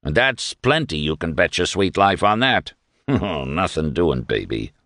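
Man doing a smug, swaggering small-town thug, swooping pitch. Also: sing-song, a little slow, bright, forceful tone, no room sound, clean studio sound, full character voice. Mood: suspicious, cocky. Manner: slangy, boastful, condescending. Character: crude, smug, leering fascist militia boss.

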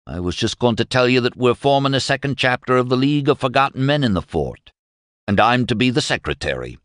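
A man's voice: on the low side, animated, slow pace, a bit bright, no room sound, clean studio sound, pitched above the performer's own voice. A man doing a surly, derisive hired hand. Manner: drawling, insolent.

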